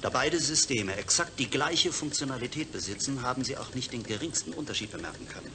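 A man playing a defensive, placating scientist doctor in a science-fiction radio drama, animated and insistent in manner. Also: mid-range voice, brisk, lightly acted.

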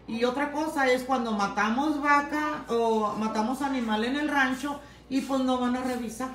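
A woman, chatting casually while she cooks on a livestream in her home kitchen. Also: on the high side. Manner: unhurried, homey explanations while cooking. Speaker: home cook and channel host. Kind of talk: casual cooking livestream chat. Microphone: phone camera held by helper across the kitchen. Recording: roomy kitchen echo, faint room noise.

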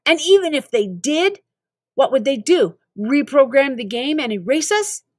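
A woman's voice: sits high, animated, unhurried, dry recording, clean studio sound, voice pushed up. A woman voicing a frustrated American schoolboy in a storybook, with emphatic, exasperated delivery. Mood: angry, exasperated. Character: frustrated boy narrator, schoolkid trapped in a video game.

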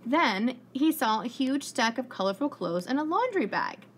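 A woman in her thirties reading aloud as a preschool teacher in a classroom, encouraging and deliberate, asking questions. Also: high voice, very animated, breathy edge. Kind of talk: children's read-aloud with questions. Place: indoors, school classroom. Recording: faint room noise.

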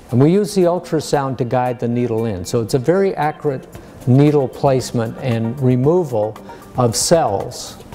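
An American man in his sixties, a surgeon explaining medicine to a lay audience in a measured, plain-spoken way. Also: medium pitch, dark tone. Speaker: surgeon giving a public lecture. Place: medium-sized lecture room.

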